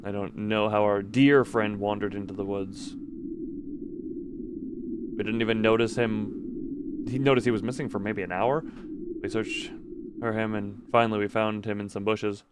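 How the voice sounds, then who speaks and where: Low-voiced man streaming a game, noisy background. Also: flat delivery, normal speed, pauses often. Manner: stumbles over read text and corrects himself. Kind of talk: casual livestream commentary mixed with reading game text aloud.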